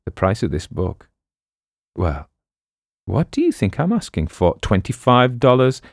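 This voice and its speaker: A man voicing a brash, fast-talking book pitchman and con artist in an audiobook story, full of bombastic patter. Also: wildly swooping pitch, creaky, dry recording, studio quality, full character voice. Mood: grand sales pitch.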